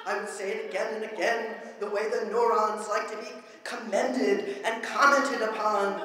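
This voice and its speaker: A woman's voice: medium pitch, fairly even intonation, normal speed, sharp tone. A woman performing spoken-word poetry in a theatrical, incantatory and declamatory manner, chanting and repeating phrases with emphasis. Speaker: performance poet. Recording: echoey hall, voice far from mic.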